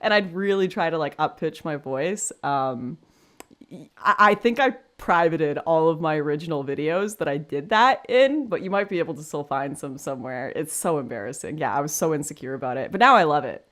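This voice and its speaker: Woman, 20s, deep voice, candid and self-deprecating, casual livestream host. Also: lively intonation, a little fast, fairly bright tone. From Canada. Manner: chatty, frank, self-deprecating, thinks aloud with restarts. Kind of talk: casual livestream chat reading super chats.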